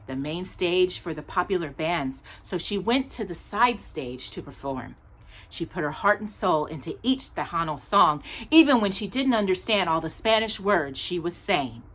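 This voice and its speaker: A woman with a husky edge to her voice reads a children's story aloud. Her pitch moves up and down in a lively way, and she leans on key words with pauses, as a storytime host does. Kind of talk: expressive children's picture book read-aloud.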